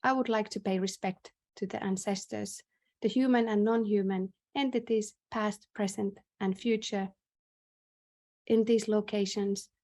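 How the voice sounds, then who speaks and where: A woman with a Finnish accent chairs an online conference session. Her voice sits at a medium pitch. She speaks formally and in a measured way, with hesitant pauses, over a video call. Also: a little mellow. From northern Finland. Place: indoors in a bright room, on a video call. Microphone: video call microphone.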